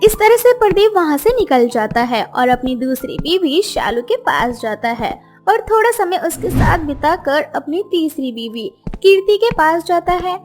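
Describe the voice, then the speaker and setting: High, lively woman narrating a story. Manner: expressive, storytelling.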